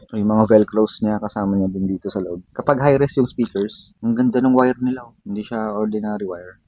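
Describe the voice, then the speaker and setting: A man's voice: lowish voice, warm tone, husky, no room sound, muffled recording. A man with a Filipino accent giving a casual, informal unboxing demo as a vlogger, mixing in English slang.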